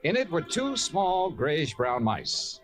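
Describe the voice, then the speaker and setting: A man's voice: fairly high pitch, sing-song, a bit bright, soft background music, heavily acted. An actor playing a dry, sceptical male investigator who narrates his case in old radio drama style. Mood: wry narration. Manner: dry, measured, politely doubtful. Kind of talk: 1950s radio detective drama acting.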